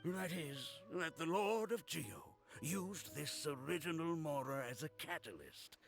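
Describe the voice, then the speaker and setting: A woman's voice: low-pitched, animated, unhurried, gravelly, music in the background, full character voice. A woman voicing a proud, self-important male scholar who lectures in a pompous way.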